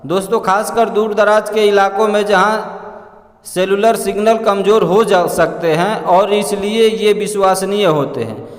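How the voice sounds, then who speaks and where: A man reads a scripted explainer in a halting way, addressing listeners as friends. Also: high voice. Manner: halting read-aloud delivery, often addressing listeners as friends. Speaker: presenter narrating an explainer. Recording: echoey room with long reverb.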